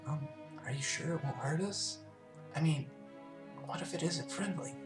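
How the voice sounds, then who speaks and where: A man's voice: fairly high pitch, measured pace, over music, voice pushed up, close to an everyday voice. A man voicing a timid, fearful young female pegasus pony, hesitant and meek, in a story reading. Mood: timid, worried.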